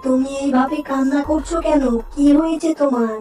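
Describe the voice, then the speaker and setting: A woman voicing a gentle fairy who speaks calmly and soothingly, lower than her other figures. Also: brisk, reverberant, recorded from a distance, faint music behind, natural voice. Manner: soothing, calm, reassuring. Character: gentle kindly fairy from a well.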